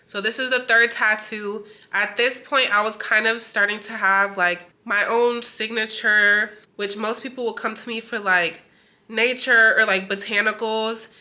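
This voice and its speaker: A woman tattoo artist talks casually to her viewers, her voice stuffy and nasal from a cold. Her tone is very bright, and she fills her talk with "like.". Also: a little high, steady tone, measured pace. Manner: chatty, full of "like" fillers. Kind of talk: casual vlog chat.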